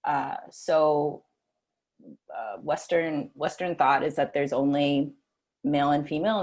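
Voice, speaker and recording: Very low-pitched woman panelist, husky voice, halting conversational style, video call. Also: darkish tone. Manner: conversational, halting, with light laughs. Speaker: policy lead, panelist. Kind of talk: panel discussion.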